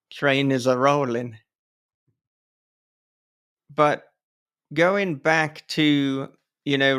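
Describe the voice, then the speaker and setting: Man, podcast interviewer, clean resonant voice, medium pitch, conversational, thoughtful halting phrasing. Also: a bit bright, smooth. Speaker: podcast host interviewing a guest.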